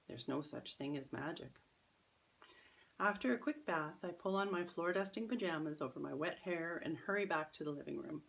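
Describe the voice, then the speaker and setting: Low, creaky-voiced woman reading a story. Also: rough recording. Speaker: author reading their own children's story. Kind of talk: scripted storybook narration in first person.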